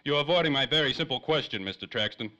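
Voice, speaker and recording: A man's voice: medium pitch, animated, fast talker, sharp tone, muffled recording. A man playing a hardboiled, wisecracking private detective in a 1930s-style radio drama, with clipped tough-guy talk. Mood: wry, tough.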